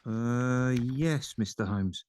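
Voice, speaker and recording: Man acting a slow, hesitant, nervous mortician. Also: medium pitch, darkish tone, clear, resonant tone, dry recording. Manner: hesitant, fumbling, stammering over his report. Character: nervous male mortician reporting to a detective. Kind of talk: audio drama acting.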